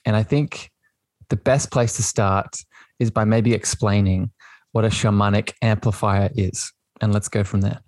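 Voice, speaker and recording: A man's voice: on the low side, steady tone, a little slow, no room sound. Gushing podcast host man. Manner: warm, gushing, spiritual phrasing. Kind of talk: casual podcast interview.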